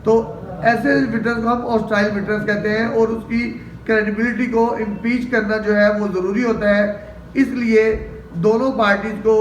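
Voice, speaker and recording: Male lecturer, Pakistani accent, high register, didactic explainer delivery; recorded off-mic in a reverberant room. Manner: didactic, poses questions and answers them. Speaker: law lecturer. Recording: roomy, distant mic, faint noise.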